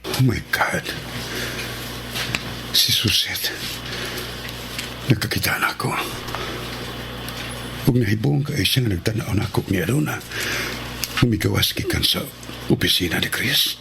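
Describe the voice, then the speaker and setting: A man's voice: fairly low pitch, lively intonation, a little slow, gravelly, long pauses. An actor with a Visayan Filipino accent playing an anxious man muttering worriedly in a radio drama. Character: anxious man dreading a brewing scandal. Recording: noisy radio drama recording.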